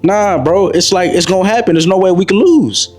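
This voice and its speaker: A young man in his twenties delivers a motivational talk in a slightly high voice. He preaches and repeats himself, calling the listener bro. Faint music plays behind him. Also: fairly quick. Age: 20s. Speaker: motivational vlogger. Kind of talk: motivational monologue to camera.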